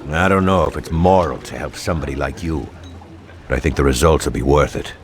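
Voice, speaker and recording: A man with an American accent playing a skeptical, sardonic police detective, dryly questioning an informant in a video game cutscene. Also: very deep voice. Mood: grave, weighing. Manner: dry, probing, unhurried questioning.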